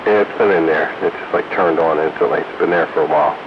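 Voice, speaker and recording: A man's voice: a bass voice, fairly even intonation, fast. An American man chatting casually as a radio hobbyist over a two-way radio. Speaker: radio hobbyist in a group chat. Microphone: two-way radio, recorded from a receiver stream. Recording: hissy, muffled CB radio transmission.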